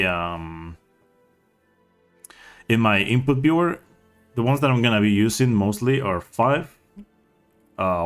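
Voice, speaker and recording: A man with a fairly low voice talks through a game tutorial in a casual, unscripted way. He is hesitant and halting, often trailing off before picking up again. Music plays clearly behind him. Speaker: speedrunner giving a game tutorial.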